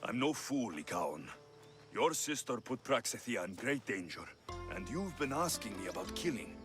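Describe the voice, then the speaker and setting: A brooding young Greek mercenary in a game cutscene. He speaks bluntly at a middle pitch with lively swings, challenging whoever he faces, with faint music behind him. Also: moderate pace. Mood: firm. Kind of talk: video game cutscene acting.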